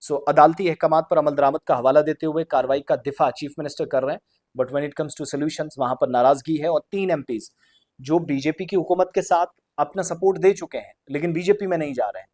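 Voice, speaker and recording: Guy doing news commentary, voice a bit on the high side, explains stuff and repeats points, urdu-ish words mixed with english. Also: fairly quick, darkish tone. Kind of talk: unscripted news commentary to viewers.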